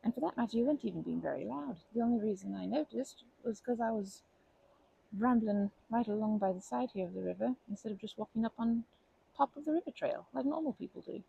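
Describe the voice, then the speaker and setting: A woman playing a kindly, protective grandma in an ASMR comfort roleplay, speaking gently and hesitantly with fond pet names. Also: a little high, fast, muffled recording, a little hiss or hum. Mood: gentle concern. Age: older. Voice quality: creaky, husky.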